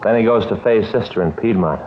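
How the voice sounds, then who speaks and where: A man's voice: lowish voice, brisk, lightly acted. An American man playing a put-upon married police detective, chatty and folksy in his complaining, in a 1950s radio drama. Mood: weary, exasperated. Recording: muffled, noisy old radio broadcast.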